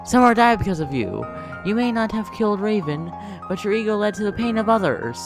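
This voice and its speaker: A man's voice: sits high, lively intonation, a little fast. A man voicing a protective warrior mother in an amateur dramatic reading, with earnest, accusing defiance. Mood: defiant. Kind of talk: amateur dramatic reading of comic fan fiction. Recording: music under the voice.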